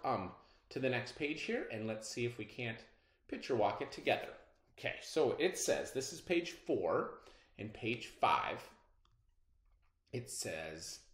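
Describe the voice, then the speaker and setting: Animated American man teaching, slow pace. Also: mid-range voice. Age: middle-aged. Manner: slow, expressive, questioning, addressed to children. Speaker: elementary school teacher. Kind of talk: read-aloud reading lesson for children. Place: indoors, roomy-sounding room. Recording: echoey room sound.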